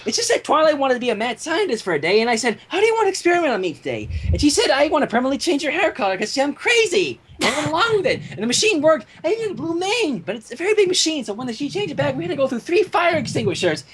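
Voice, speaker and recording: Woman doing a hyper, high-pitched chattering cartoon pony. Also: rapid-fire, hardly any pauses, a little hiss or hum. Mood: hyper, breezy. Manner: breathless, babbling, over-excited.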